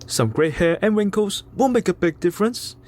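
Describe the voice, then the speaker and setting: A man's voice: sits high, lively intonation, moderate pace, a little mellow. A man playing a pushy, coaxing father in a radio drama. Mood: coaxing, firm. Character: pushy, coaxing father; also jeering young heckler. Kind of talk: amateur radio drama acting.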